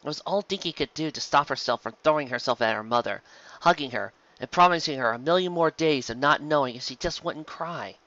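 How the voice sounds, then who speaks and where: A man's voice: fairly high pitch, brisk, pushed and bright, dull audio. A man reading a scripted story aloud as narrator. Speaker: narrator, reader.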